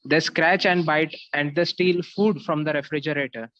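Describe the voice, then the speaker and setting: Man playing busy dismissive father, fairly high voice, dry muffled recording. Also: fairly even intonation, moderate pace, pushed and bright, no room sound.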